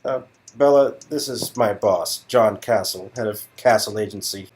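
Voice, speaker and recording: A man's voice: fairly low pitch, measured pace, a little mellow, close to an everyday voice. A man playing a deferential, eager junior talent agent in a comic dialogue reading, polite and hesitant. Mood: meek, earnest.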